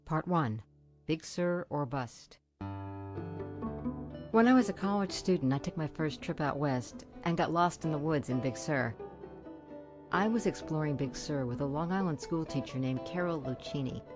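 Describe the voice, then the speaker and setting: Older american lady reading a personal story, pretty low voice, guitar music behind her. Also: animated, normal speed. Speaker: host and narrator. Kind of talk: scripted personal storytelling narration.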